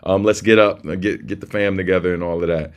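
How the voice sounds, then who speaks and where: Deep-voiced laid-back male host. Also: steady tone, smooth. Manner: laid-back, slangy, drawn-out shout-outs. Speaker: livestream host. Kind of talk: casual livestream chat.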